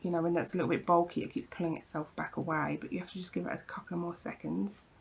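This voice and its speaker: A woman demonstrating a craft project at her home craft table, croaky from hay fever, talking in a chatty, reassuring way with frequent ums and apologies. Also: on the low side, little pitch movement, fast talker, a little hiss or hum. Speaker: craft tutorial host. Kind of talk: casual hands-on craft demonstration.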